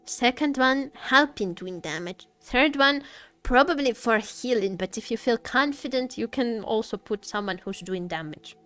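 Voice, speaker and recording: A woman livestreamer chatting casually with viewers about games, halting and jokey, often repeating herself. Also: fairly high pitch, expressive, fairly bright tone. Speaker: livestreamer, gaming content creator. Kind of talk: casual live gaming commentary, chatting with viewers. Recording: faint music behind the voice. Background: faint music.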